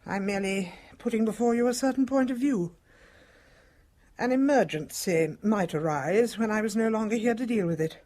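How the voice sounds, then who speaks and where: Elderly British woman, mid-pitched voice, measured, formal, composed, secretive dying matriarch, radio drama actress. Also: warm tone, natural voice. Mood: guarded, composed. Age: older.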